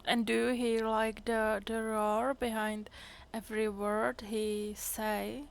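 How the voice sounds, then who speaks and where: Slow, flat-toned woman streaming a game. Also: on the high side, creaky. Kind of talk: casual live game commentary. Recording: faint noise, game audio behind.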